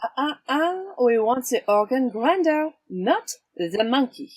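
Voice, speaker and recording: Actress as wry female hunter, husky, slow, teasing, theatrical, audio drama. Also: high-pitched, sing-song, no room sound, full character voice. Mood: cool, menacing. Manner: sly, teasing, unhurried, theatrical. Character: cool, wry swashbuckling woman hunter and vigilante.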